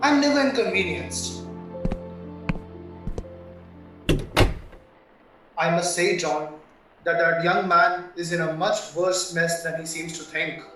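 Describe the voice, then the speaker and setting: A man's voice: on the high side, moderate pace, over music, natural voice. A man playing a shrewd, blunt, worldly senior barrister in an audio play. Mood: sceptical. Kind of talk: stage-style radio play acting. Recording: very echoey room, distant mic.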